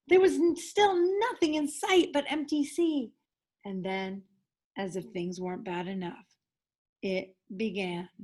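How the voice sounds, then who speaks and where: A woman with a fairly high voice reads a picture book to children. Her delivery is enthusiastic and expressive, placing dramatic emphasis on key words in the manner of a teacher at story time.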